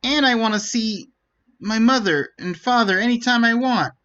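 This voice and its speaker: A man's voice: very high voice, normal speed, pushed and bright, dull audio. A man voicing a perky young Wingly girl, casual and eager for adventure, in a fantasy game read-aloud. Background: faint game music.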